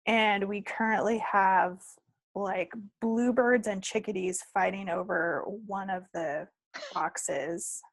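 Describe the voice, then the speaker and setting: A woman's voice: mid-range voice, steady tone, takes it slowly, vocal fry, dry recording, low-quality recording. A woman co-hosting a podcast in casual chat, talking in a rambling, jokey way. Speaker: podcast co-host.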